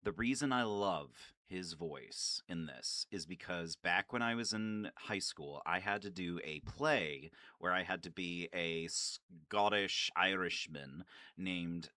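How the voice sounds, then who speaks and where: A man's voice: deep voice, takes it slowly, pushed and bright, no room sound, studio quality. A man giving casual, nerdy commentary as a gaming video creator, rambling and swearing with enthusiasm. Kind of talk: casual, nerdy commentary on favorite game lines.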